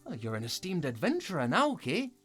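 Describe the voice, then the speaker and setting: A woman's voice: deep voice, wildly swooping pitch, a little fast, a bit bright, faint music behind, heavily acted. A woman playing a kindly, encouraging fox companion in a family audio drama, speaking in a folksy, reassuring way.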